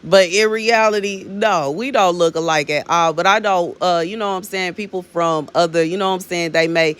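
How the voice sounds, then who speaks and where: A woman's voice: fairly low pitch, fairly even intonation, moderate pace, a bit bright, smooth. Woman in her thirties, rambling spiritual talk. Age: 30s. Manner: rambling, fills with "you know what I'm saying", calls viewers "baby". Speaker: minister sharing prophetic words. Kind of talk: casual spiritual talk to viewers.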